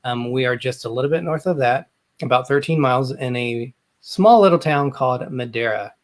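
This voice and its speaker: A man's voice: mid-range voice, moderate pace. A man born in England, a travel channel creator, talking casually with fillers on a live video call. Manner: easygoing, rambling with fillers.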